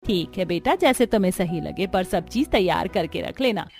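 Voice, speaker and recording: A woman's voice: lowish voice, expressive, normal speed, darkish tone. A woman playing a caring, practical mother-in-law in a cartoon family story, motherly and instructing. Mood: kindly, instructing. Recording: music bed behind the voice.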